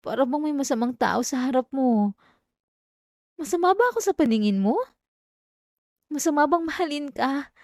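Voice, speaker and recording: A woman's voice: on the high side, a little mellow, dry recording. A young woman with a Filipino accent voicing an obsessive, possessive female classmate in an intimate, dramatic ASMR roleplay, addressing the listener up close. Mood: sulky, pleading. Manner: intimate, pleading turning coldly threatening, dramatic. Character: obsessive yandere female classmate, clingy, wounded, menacingly possessive. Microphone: close microphone, dry sound.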